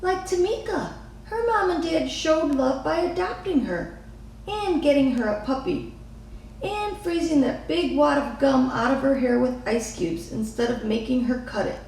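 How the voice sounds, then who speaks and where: A woman's voice: high voice, expressive, measured pace, darkish tone, pitched above the performer's own voice. A woman voicing an earnest, wistful little girl from a storybook, with eager, wondering phrasing. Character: earnest, wistful little girl who loves her mother. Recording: echoey room, distant mic, faint noise.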